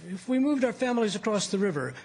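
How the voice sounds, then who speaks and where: A man playing a humble, loyal plantation foreman in a radio drama, husky, hesitant and deferential. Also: high-pitched, animated, fast talker, a little mellow, low-quality recording. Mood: anxious, deferential.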